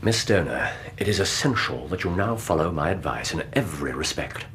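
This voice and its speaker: An actor playing an incisive, theatrical Victorian detective with an English accent and precise, formal, dryly authoritative speech in a radio drama. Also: male voice, low voice, expressive, brisk, fairly bright tone, a little hiss or hum. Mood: urgent, commanding.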